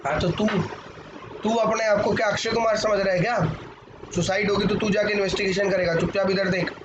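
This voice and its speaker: A hoarse man from India teaches maths in a live class and keeps joking with his students. His voice sits high for a man. The recording is muffled, with steady background noise. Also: a little fast, reverberant. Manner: banters with students, mixes Hindi and English. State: hoarse, sore throat.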